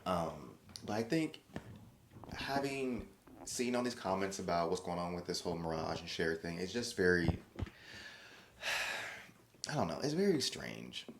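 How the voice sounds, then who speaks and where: A man with a low voice chats on his own podcast, rambling and restarting his sentences. He slips into lively quoted voices now and then. The sound is roomy and echoey. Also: slight background noise. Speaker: podcast host. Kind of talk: casual solo podcast commentary.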